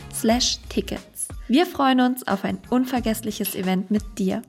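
A woman reading a scripted conference announcement in a smooth, even delivery. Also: on the high side, unhurried, soft background music. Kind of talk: scripted promotional read.

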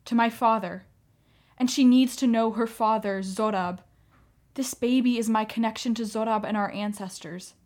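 A woman's voice: a little high, normal speed, a little mellow, natural voice. A woman acting in a radio play as an anguished, defiant young Armenian mother, pleading with feeling. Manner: impassioned, pleading.

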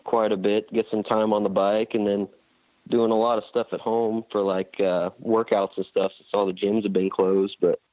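Low-voiced young guy on a phone call, laid back, lots of um and like, tinny phone sound. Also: level tone, moderate pace, no room sound. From Indiana, USA. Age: young adult. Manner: laid-back, rambling with fillers. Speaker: guest rider. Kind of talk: casual phone interview.

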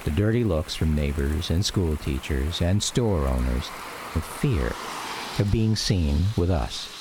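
A man narrating a radio drama as a reflective grown son recounting family history, measured and grave. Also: a bass voice, expressive, noisy background.

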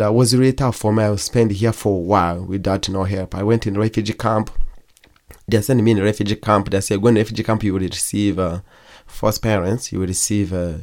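A young man from Congo, whose first language is Kigogwe, telling his own story as an interview guest in halting speech full of pauses and restarts. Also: fairly low pitch, a little mellow. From Democratic Republic of Congo. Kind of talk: personal testimony in an interview.

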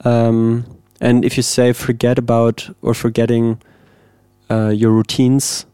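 A man, a composer, giving thoughtful, measured interview answers and searching for the right words. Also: medium pitch, monotone, mellow, clean tone, no echo. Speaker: composer guest.

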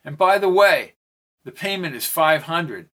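A man's voice: on the high side, expressive, a little slow, fairly bright tone. A man playing a blustering, exasperated official. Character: irritated middle-aged male government official.

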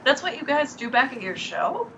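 An actress playing a chatty, proud small-town local, speaking in a folksy, brash, colloquial way in an audio drama. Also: female voice, high-pitched, very animated, normal speed, a bit bright, lo-fi sound, slight background noise, full character voice. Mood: boastful, chatty. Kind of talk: in-character audio drama monologue.

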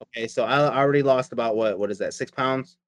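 A man's voice: mid-range voice, fast talker, no echo. A man chatting casually about his diet and workouts, rambling and profane, often repeating himself. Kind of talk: casual chat.

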